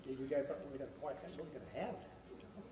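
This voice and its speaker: A resident speaking up at a meeting in a school hall, blunt and leaning on "you know" in nearly every sentence. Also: male voice, medium pitch, racing, warm tone. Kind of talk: public meeting Q&A. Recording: echoey hall, distant mic.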